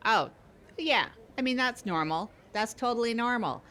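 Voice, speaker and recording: A woman's voice: a little high, expressive, normal speed, pushed and bright. A woman co-hosting an improvised comedy podcast, chatting in an indulgent, placating, matter-of-fact way. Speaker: podcast host on trial.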